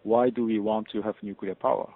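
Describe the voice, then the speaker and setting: Guest professor, man, 50s; husky, gravelly low voice, formal and measured, heard over a tinny phone-like line. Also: fairly even intonation. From Japan. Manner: measured, formal, with frequent pauses and uh fillers. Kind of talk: interview answers.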